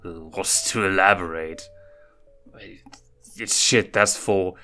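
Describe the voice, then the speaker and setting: A man's voice: low-pitched, slow pace, sharp tone, faint music behind, heavily acted. A man voicing a surly, defensive young male lion in a story reading, blunt, crude and grumbling.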